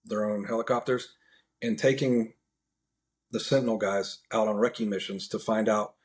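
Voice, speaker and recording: An American man as a podcast guest, giving a casual, measured and somewhat halting first-hand account. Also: fairly low pitch, steady tone. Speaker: podcast guest, National Guard air traffic controller.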